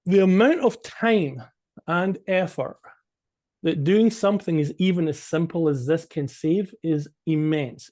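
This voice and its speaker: High-voiced Scottish man presenting informally. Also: dark tone. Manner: conversational, emphatic, often checks in with "right?". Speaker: business coach and consultant giving a presentation. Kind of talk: informal explanatory business presentation.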